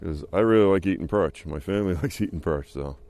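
A man in his thirties with a New England accent, a guest angler explaining his technique in a relaxed, unhurried way. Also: low voice. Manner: relaxed, unhurried, with fillers like "uh" and "you know". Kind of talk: casual explanation. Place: small boat on a pond, outdoors.

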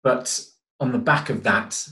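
A man's voice: medium pitch, unhurried, sharp tone. A middle-aged man, a stage actor, answering interview questions thoughtfully and hesitantly on a video call. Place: indoors at home. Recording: roomy, echoing video call sound.

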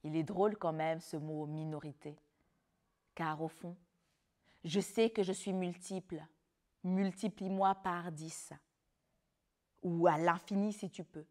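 A woman's voice: fairly low pitch, fairly even intonation, slow, long pauses, a little mellow. A woman. She is a slam and spoken word artist, speaking as someone who performs her own words. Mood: performing. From Belgium (Brussels), of Rwandan descent. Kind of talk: spoken word performance alternating with interview talk.

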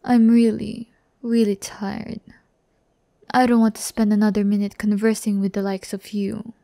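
ASMR roleplay: vain, condescending young female demon, played by a woman, gravelly fry, close dry mic. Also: medium pitch, level tone, dark tone, dry recording, close to an everyday voice. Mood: tired, condescending. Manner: slow, condescending, teasing, often dropping to a whisper. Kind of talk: breathy ASMR audio roleplay. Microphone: close microphone, dry sound.

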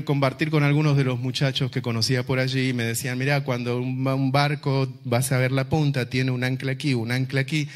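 A middle-aged man from Argentina with an Argentine accent preaches through personal stories on a handheld microphone, using rhetorical questions, pauses and repetition. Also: medium pitch. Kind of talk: sermon with personal storytelling to a congregation. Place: large indoor church auditorium, on stage.

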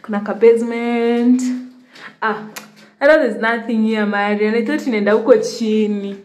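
A woman's voice: fairly high pitch, steady tone, moderate pace, darkish tone, slight background noise. A young Kenyan woman vlogging casually, chatting as she films a house tour on her phone and slipping Swahili into her English. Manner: chatty, mixes Swahili into English, laughs often. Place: rented house, indoors, walking room to room. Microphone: phone camera held in hand.

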